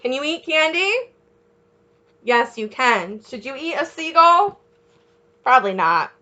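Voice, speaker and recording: A woman reading a picture book aloud as a children's teacher, encouraging young listeners with questions and pausing for their answers. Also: high voice, lively intonation, sharp tone.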